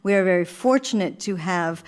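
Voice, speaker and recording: A woman's voice: on the low side, moderate pace, clear, resonant tone. A woman with an American accent chatting emphatically as an actress in a casual on-stage interview.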